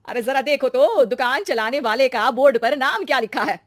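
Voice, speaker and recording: A woman's voice: high voice, moderate pace, no echo, voice pushed up. Older mother-in-law, shrewd and in charge, female storyteller performing; emphatic, caring, dry sound, family story. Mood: shrewd, firm. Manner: emphatic, commanding. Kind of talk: acted story dialogue.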